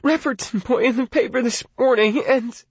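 A man's voice: very high voice, normal speed, husky, no room sound, pitched above the performer's own voice, exaggerated voice. A man voicing a tearful pleading teenage girl. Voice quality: wavering, tearful.

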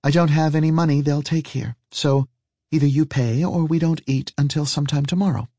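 A man's voice: on the high side, fairly even intonation, brisk, mellow, no echo, pitched above the performer's own voice, lightly acted. A man voicing a guarded, dry-witted woman traveler in an audiobook, with clipped, polite, formal phrasing. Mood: brisk, polite.

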